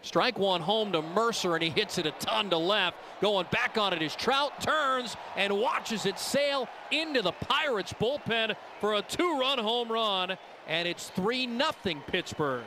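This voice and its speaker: A man with a somewhat high voice calls a baseball game as it happens. He works as the play-by-play announcer, with some background noise from an open-air setting behind him. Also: lively intonation, unhurried, pushed and bright. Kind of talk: live baseball commentary.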